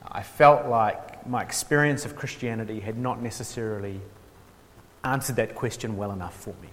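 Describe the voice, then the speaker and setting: A man's voice: lowish voice, normal speed, a little hiss or hum. Man from New lecturing in a reverberant hall. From New Zealand. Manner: hesitant, many ums and restarts, dry self-mocking humour. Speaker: workshop speaker on theology. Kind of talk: informal lecture.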